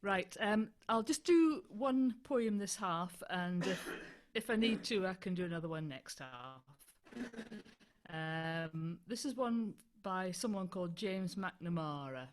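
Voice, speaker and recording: A woman with a Scottish accent reciting poetry, quiet and distant on a video call. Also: lowish voice, animated, slow. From northeast Scotland. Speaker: folk club performer.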